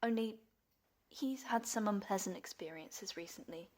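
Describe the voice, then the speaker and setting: An actress in a radio play voicing a painter's devoted young daughter, gentle and placating. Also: female voice, medium pitch, normal speed, slight background noise, natural voice. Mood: explaining, concerned.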